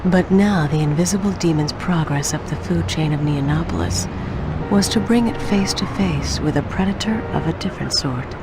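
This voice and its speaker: An actor narrating a video game hunt story in a measured, ominous and formal way. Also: mid-range voice, close to an everyday voice. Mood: solemn, ominous. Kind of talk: scripted dramatic narration. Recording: noisy video game cutscene audio.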